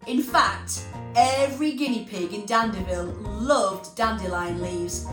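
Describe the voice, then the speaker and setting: A woman presenting and reading stories to young children, speaking expressively and deliberately, addressing them directly. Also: mid-range voice. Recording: roomy echo, music underneath.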